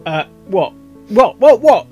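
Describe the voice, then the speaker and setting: A woman's voice: medium pitch, sing-song, slow, dark tone, faint music behind. A woman giving casual reaction commentary, interjecting short remarks and repeating phrases as she listens. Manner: interjecting, echoing what is heard. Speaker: reaction video host.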